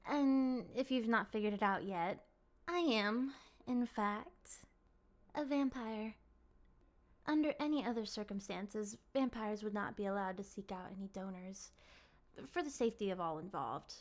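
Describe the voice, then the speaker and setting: A woman playing a possessive, lovestruck vampire in an ASMR roleplay, speaking in formal, ornate, pleading phrases that often slip into breathy whispers. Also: mid-range voice, a little slow, lightly acted. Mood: polite, apologetic. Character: obsessive, courtly, possessive female vampire in love.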